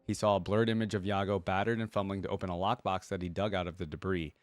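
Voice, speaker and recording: A man's voice: deep voice, little pitch movement, quick pace, no echo. A man reading a fantasy story aloud expressively and adding casual remarks as a reaction host.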